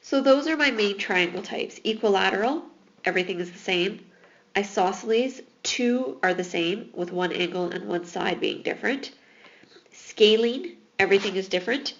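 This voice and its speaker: A woman's voice: mid-range voice, normal speed, muffled recording. A woman teaching math in a recorded lesson, explaining patiently while losing her voice. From Alberta, Canada.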